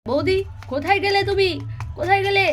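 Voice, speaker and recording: A woman's voice: very high-pitched, fast, very noisy. A woman voicing an anxious villager searching for a missing relative, with urgent questioning, in a puppet cartoon. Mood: worried. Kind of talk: Bengali puppet cartoon voice acting.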